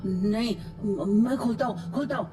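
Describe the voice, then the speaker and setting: A woman's voice: mid-range voice, a little slow, distant mic, music in the background, natural voice. A woman voicing a naive, obedient young village boy who speaks hesitantly and stammers in a horror audio drama. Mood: stunned, torn.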